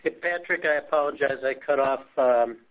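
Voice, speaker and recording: A man's voice: medium pitch, moderate pace. A man moderating a company conference call, speaking informally as he manages the queue of questions. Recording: narrow, distant-sounding phone line.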